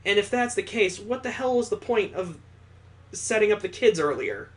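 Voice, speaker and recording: This is a let's-play host in the middle of a visual novel. The delivery is sarcastic and exasperated, switching between dramatic reading and offhand commentary. The voice sits at a middling pitch. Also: lively intonation, normal speed.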